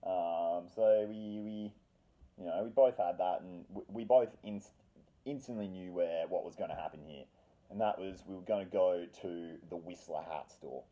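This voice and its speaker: Deep-voiced man telling a rambling story. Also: normal speed, dull audio. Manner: rambling, sweary, slangy. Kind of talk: casual anecdotal storytelling.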